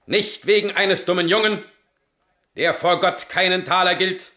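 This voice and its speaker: An actor playing a domineering, wrathful Prussian king and father in a German radio drama, pompous and commanding in his deliberate speech. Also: sits high, fairly even intonation, bright, forceful tone, reverberant. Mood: pompous, contemptuous.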